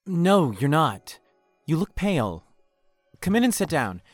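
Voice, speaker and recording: A man's voice: a little high, very animated, a little slow, no room sound, faint music behind. An actor playing an earnest, lovestruck young man in an audio drama, a little hesitant and awkward. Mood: concerned. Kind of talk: naturalistic audio drama acting.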